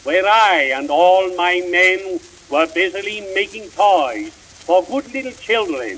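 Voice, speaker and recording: A man playing old Santa Claus, slow storyteller. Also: sits high, fairly bright tone, a little hiss or hum, exaggerated voice, poor audio. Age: older. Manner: slow, grand, sing-song recitation. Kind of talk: old-time recorded storytelling.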